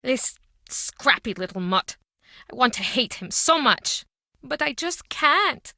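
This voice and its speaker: Bright, high-voiced heartbroken woman. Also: very animated, takes it slowly, creaky, no echo, clean studio sound, full character voice. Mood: rueful. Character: guarded, heartbroken townswoman, bitter ex-girlfriend in mystery game. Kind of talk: dramatic video game voice acting.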